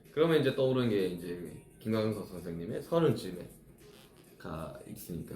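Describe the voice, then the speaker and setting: A man's voice: medium pitch, darkish tone, reverberant. A man in his thirties, a musician chatting casually with fans on a live stream, reflectively explaining how he writes his songs. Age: 30s.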